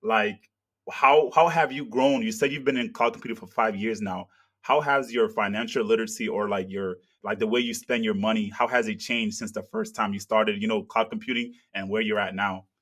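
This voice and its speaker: A man from Africa hosts a casual interview, asking long, earnest questions padded with "you know" and "kinda". His voice is on the low side. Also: fairly even intonation, normal speed, a bit bright. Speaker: interviewer, channel host.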